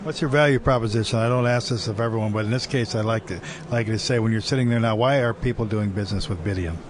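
Joking, mid-pitched male interviewer on a crowded expo floor. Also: quick pace. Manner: joking, wry, rambling questions. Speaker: interview host. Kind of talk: conversational tech interview. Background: crowd chatter.

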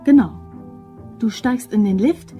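A woman's voice: a little high, unhurried, mellow, lo-fi sound, faint music behind, close to an everyday voice. An actress playing a cool, bossy office woman who masterminds a robbery, clipped and businesslike, in a German crime radio play. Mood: commanding. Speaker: actor.

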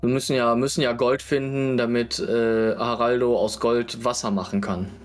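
A man chatting casually as a tabletop game player, hesitant and halting. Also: mid-range voice, level tone.

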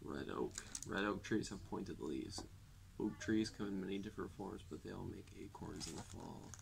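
A young man reading a children's non-fiction book aloud in a deadpan manner, recorded a few feet from the camera indoors. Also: low-pitched, moderate pace, creaky, noisy background. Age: young adult. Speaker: reader. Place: indoors, public library, at a table.

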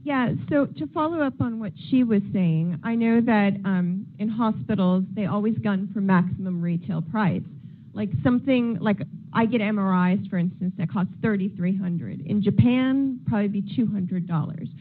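A woman's voice: medium pitch, normal speed. Woman asking from the floor, tinny. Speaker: audience member. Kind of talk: question from the floor. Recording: tinny phone-like sound with faint room noise.

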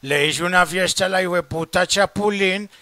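A Colombian man making a comedic prank call, smooth-talking and full of pet names. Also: high voice, normal speed, sharp tone, no echo, studio quality. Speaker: prank caller, show host. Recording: very noisy phone-call recording.

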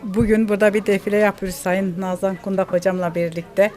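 Middle-pitched woman, casual interview answers. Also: fairly even intonation, fast, mellow, soft background music. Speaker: farm owner and event host. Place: outdoors at a strawberry farm.

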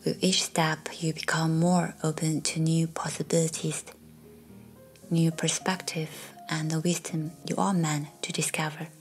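Woman, meditation guide, low even voice, breathy near-whisper, soothing, long pauses, faint music behind.

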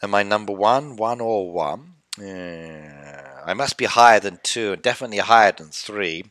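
A man's voice: fairly low pitch, normal speed, fairly bright tone, dry recording, studio quality. A middle-aged man chatting casually on a game live stream, playfully reading and answering chat. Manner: chatty, playful, reads chat aloud and teases viewers. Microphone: headset with desk mic.

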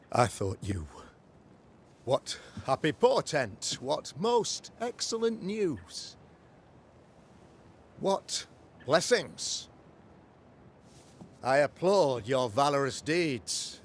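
An actor playing a pompous, pious, middle-aged preacher in a video game, with florid, oratorical delivery. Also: male voice, mid-range voice, a little hiss or hum, heavily acted. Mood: stunned, delighted. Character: pompous, pious preacher, learned man of the cloth. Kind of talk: theatrical video game acting, sermonizing.

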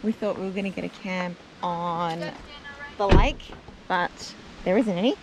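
A woman's voice: lowish voice, steady tone, a little slow, slight background noise, low-quality recording. A woman in her forties with an Australian accent, chatting enthusiastically while narrating a family road trip vlog from the car. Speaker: travel vlogger. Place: inside a car and outdoors by a lake.

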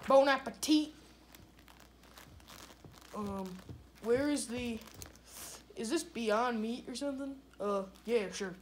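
A woman's voice: on the high side, slow, long pauses, a little hiss or hum. A woman doing an improvised comedy skit with plush toys on a living room couch, with a drawling, mumbly delivery. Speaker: puppeteer voicing plush toys.

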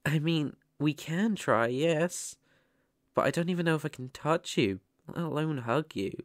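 A young British man playing a shy, sweet, clumsy ghost in an ASMR roleplay, speaking softly and hesitantly close to the mic. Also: a little high, expressive, measured pace, no room sound. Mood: caring, tentative. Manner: hesitant, soft-spoken, gentle. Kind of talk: ASMR roleplay, soft intimate acting.

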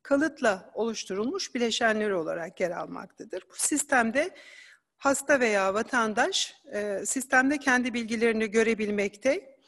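A woman giving an academic conference presentation, explaining slides in a measured way with occasional hesitant fillers. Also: fairly high pitch, animated, fairly bright tone, in a large hall.

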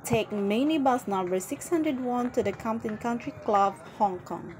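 A young adult woman vlogger reading a scripted travel voice-over in an even, informative tone. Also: fairly high pitch, moderate pace, slight background noise.

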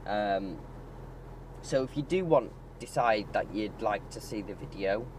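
A man's voice: fairly low pitch, lively intonation, unhurried. A man giving a casual vlog monologue in a hesitant, self-correcting way while driving. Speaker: vlogger. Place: inside a moving car. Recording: loud car engine and road noise. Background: car and road noise.

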